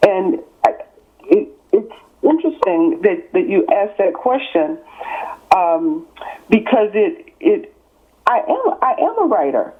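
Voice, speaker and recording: A woman's voice: medium pitch, very animated, slow pace, pauses often. A woman in her sixties from East Texas, an author speaking as an interview guest, emphatic and building her points in repeated parallel phrases. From born in California, raised in East Texas. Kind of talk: interview on a call. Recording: tinny phone line.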